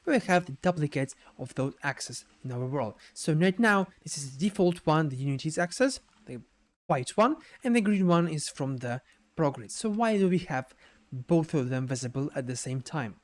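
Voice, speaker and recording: A man with a slightly high voice talks through a software tutorial off the cuff. His delivery is halting, with repeated words and small checking tags as he explains things step by step. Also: expressive. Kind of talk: unscripted screen-share tutorial narration.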